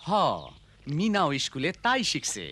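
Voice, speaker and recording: A man's voice: sits high, very animated, measured pace, pushed and bright, exaggerated voice. A man voicing an agreeable villager with a Bangladeshi accent in an educational cartoon.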